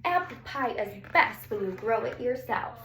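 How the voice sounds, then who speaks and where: A woman's voice: a little high, animated, moderate pace. A woman reading a picture book aloud to young children, drawing out words and putting questions to her listeners. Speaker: story reader for young children. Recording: roomy echo, some background noise.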